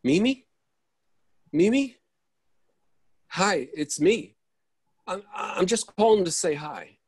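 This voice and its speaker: A man playing an exasperated, easily flustered character in an absurdist stage play reading, halting and repeating himself over a video call. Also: high-pitched, sing-song, a little mellow, slightly rough, no echo, studio quality, exaggerated voice. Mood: chatty, fretful.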